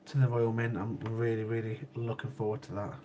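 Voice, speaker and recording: A man's voice: lowish voice, flat delivery, brisk, background noise. A man, hosting a casual livestream and chatting with viewers while sniffly from a cold. He repeats himself often and laughs easily. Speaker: livestream host. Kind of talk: casual chat with live chat viewers.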